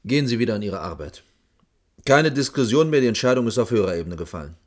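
An actor playing a middle-aged, disillusioned boss architect in a radio drama, speaking in a measured, firm, admonishing way. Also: male voice, medium pitch, big pitch swings, natural voice. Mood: stern, weary. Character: stern, disillusioned male senior architect, pragmatic boss. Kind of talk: German radio drama dialogue.

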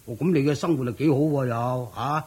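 A nagging older village uncle in a radio play, timid beneath the chiding. The voice is husky and mid-pitched, full of sighs, with a Hong Kong Cantonese accent. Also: male voice, fairly quick, a little mellow. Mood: nagging concern. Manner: sighing, chiding, stammering exclamations. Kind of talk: Cantonese radio drama acting.

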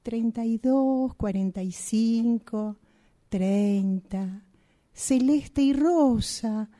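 A woman reciting deliberately, item by item, in an acting exercise. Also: fairly high pitch, warm tone. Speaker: radio drama workshop participant.

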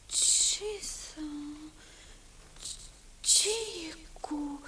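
An actress playing a young daughter who speaks in a confused, halting way after a spell in a 1960s radio drama. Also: female voice, lightly acted. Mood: bewildered. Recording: hissy 1960s radio recording.

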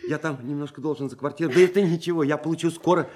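An actor playing a shy, lonely, devoted man in a radio play, stammering and repeating words with husky tenderness. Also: a little high, fairly even intonation, rapid-fire, a little mellow, lightly acted. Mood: happy, eager. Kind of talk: Russian radio play acting.

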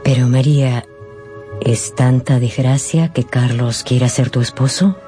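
A tender, probing matriarch speaks in a measured, coaxing way. It is middle-aged radio drama acting with music clearly underneath. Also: female voice, extremely low pitch, warm tone. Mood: gentle coaxing. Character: gentle, probing mother and aunt of a genteel household.

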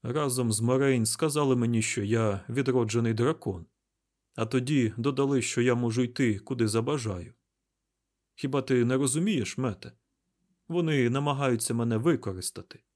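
A man's voice: mid-range voice, normal speed, a little mellow, natural voice. A man voicing a weary, troubled young hero in an audiobook, earnest and hesitant. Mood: frustrated.

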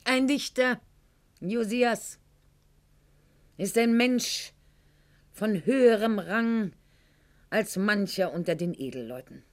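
An actress playing a proud, sentimental aristocratic lady of Goethe's era in a radio drama, with a mannered, articulate, declamatory delivery. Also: mid-range voice. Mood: imperious, lecturing. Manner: mannered, articulate, declamatory, swinging to hushed confiding tones. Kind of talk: theatrical radio drama monologue.

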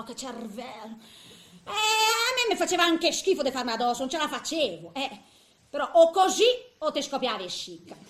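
A woman's voice: high voice, a bit bright. An actress playing a cantankerous eighty-year-old woman who grumbles in colloquial, speech in a comic stage monologue. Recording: roomy echo, faint background noise.

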